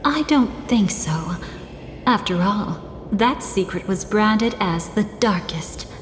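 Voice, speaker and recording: A young woman voicing an enigmatic, teasing game character who is composed and calmly fond of danger. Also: mid-range voice, slow pace, slight background noise. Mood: measured, ominous. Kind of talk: video game cutscene voice acting. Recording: long echoing reverb, game music behind.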